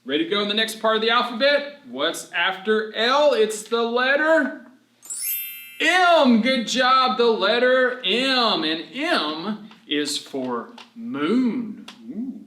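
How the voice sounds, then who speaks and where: A man with a high, very expressive voice reads a storybook lesson to young children. He is encouraging and prompts his listeners with questions, stretching key words for emphasis. The sound is roomy, with noticeable echo. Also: slow. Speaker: children's ministry storyteller and teacher. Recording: roomy, echoing room sound.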